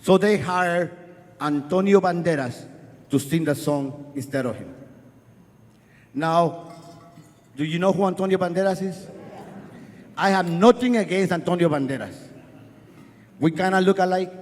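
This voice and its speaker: High-voiced Spanish-accented storyteller on stage. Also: male voice. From Guatemala. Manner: earnest, wry, speeds up and slows down for effect. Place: festival stage before an audience. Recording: live hall with long reverb.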